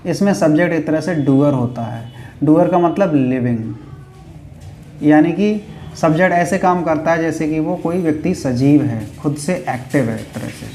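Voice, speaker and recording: A man's voice: on the high side, moderate pace, darkish tone. A man with a North Indian accent teaching grammar step by step, repeating key points and answering his own questions. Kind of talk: classroom lesson, explaining step by step. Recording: echoey room with faint background noise.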